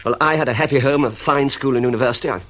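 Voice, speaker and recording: An anxious, polite British man in his thirties in a vintage radio drama, played by an actor. He speaks quickly in a medium-pitched voice, earnest and hesitant. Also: fairly bright tone, natural voice. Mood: earnest, defensive.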